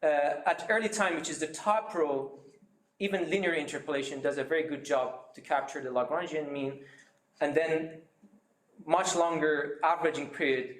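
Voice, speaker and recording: A man giving a seminar talk, explaining his method step by step with many pauses and fillers. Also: a little high, steady tone, fairly bright tone, roomy sound. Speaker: seminar speaker.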